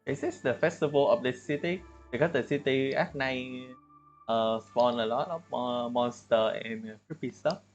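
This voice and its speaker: A man giving casual livestream commentary on a game, with halting, hesitant phrasing. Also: mid-range voice, muffled recording. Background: game music.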